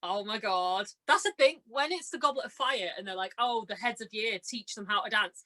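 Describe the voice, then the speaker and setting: Bubbly young woman, high bright voice. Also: brisk. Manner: bubbly, excitable, says "like" often. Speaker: guest, amateur voice actor. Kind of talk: casual chat, telling anecdotes.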